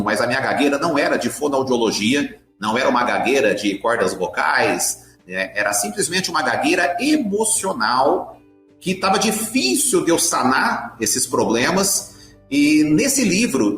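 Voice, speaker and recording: A man's voice: mid-range voice, fairly bright tone. A middle-aged man with a Brazilian Portuguese accent, telling his story as an interview guest in an emphatic way, repeating words for stress. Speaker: podcast guest, author and speaker. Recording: roomy echo with faint music.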